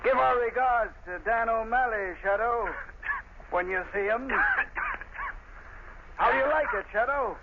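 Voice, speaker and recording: A gravelly sneering gang boss. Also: male voice, extremely high pitch, a little slow, long pauses. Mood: menacing. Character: ruthless male gang boss, sneering lawyer-turned-criminal. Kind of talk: 1930s radio drama acting. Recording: dull 1930s radio recording with hiss.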